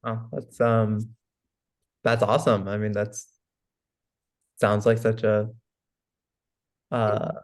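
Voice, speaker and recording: A man's voice: lowish voice, flat delivery, slow, long pauses. A young man hosting a podcast interview, asking questions hesitantly with many fillers. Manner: hesitant, full of fillers like "like" and "I guess". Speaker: podcast host. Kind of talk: casual interview.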